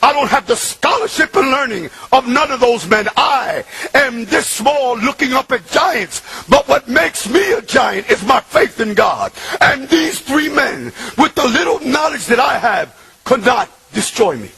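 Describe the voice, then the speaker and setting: A man, preaching an impassioned sermon that swings from loud shouting to hushed murmurs. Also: very high-pitched, animated, measured pace, vocal fry, breathy edge, rough recording. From Bronx, New York.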